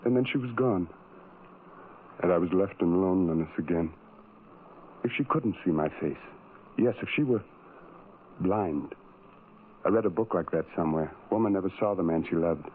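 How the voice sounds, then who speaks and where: An actor playing a lonely, tormented man in a radio horror drama, with a brooding, halting, intense confessional delivery. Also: deep voice, slight background noise, close to an everyday voice. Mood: anguished longing. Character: lonely, tormented, self-loathing man who hides from people. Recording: tinny old radio recording, music behind.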